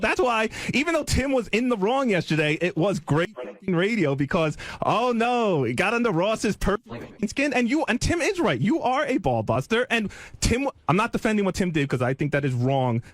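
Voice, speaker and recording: A loud, talkative man with a nasal voice, arguing and needling a coworker on a radio show. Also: high-pitched, dry recording. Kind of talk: heated on-air argument.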